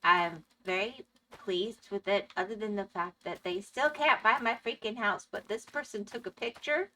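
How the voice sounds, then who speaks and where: Woman game streamer, bright tone gone a bit hoarse from losing her voice, chatty with viewers. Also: medium pitch, normal speed. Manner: chatty, reads and answers chat. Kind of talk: casual live-stream chat.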